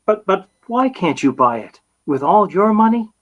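A man's voice: high-pitched, big pitch swings, moderate pace, muffled recording. A naive young husband in an old-time radio comedy. He is polite and easily flustered, tripping over his words and hesitating often. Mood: earnest, hesitant. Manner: hesitant, stammering.

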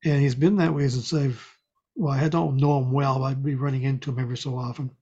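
An older man with a dark, husky voice in casual conversation. He meanders through stories and corrects himself. The audio is somewhat dull and lo-fi. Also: mid-range voice, fairly even intonation, quick pace. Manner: meandering storytelling, self-correcting. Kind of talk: casual chat.

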